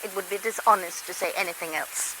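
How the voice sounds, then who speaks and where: A woman's voice: lowish voice, fairly quick, sharp tone, slight background noise, low-quality recording. An actress playing a wry, self-deprecating middle-aged British lady psychologist, narrating a radio drama in a chatty, confiding way. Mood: wry, candid. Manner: chatty, dryly humorous, confiding.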